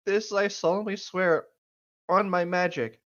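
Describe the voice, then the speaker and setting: A man reading as a bright-voiced, solemn schoolgirl witch. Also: sits high, fairly even intonation, unhurried, muffled recording. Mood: solemn, declamatory. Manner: declamatory, formal oath-taking. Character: solemn, indignant schoolgirl witch making a proclamation. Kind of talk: reading fan fiction aloud.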